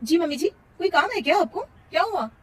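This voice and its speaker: A woman's voice: very high-pitched, expressive, fast, fairly bright tone, slight background noise, voice pushed up. A woman voicing an overworked young working daughter-in-law in a family cartoon, in a dramatic, exasperated manner. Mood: impatient.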